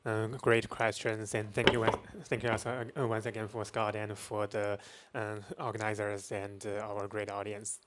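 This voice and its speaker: A man's voice: lowish voice, completely flat delivery, normal speed, a bit bright. A man moderating a talk, giving brief, formal thanks to the speaker and the audience.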